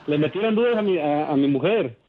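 A man from Michoacán, a radio guest who jokes and defends himself in halting, restarting phrases. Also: fairly high pitch, expressive, narrow, tinny audio. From Michoacán, Mexico. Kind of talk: casual chat.

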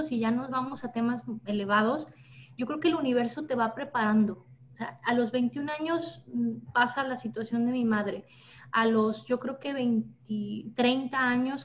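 A woman, giving personal testimony in conversation. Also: a little high. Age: 30s. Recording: dull lo-fi audio, background noise.

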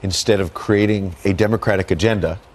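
A man's voice: low voice, fairly even intonation, brisk. A man giving political commentary on a television news panel, repeating key words for emphasis.

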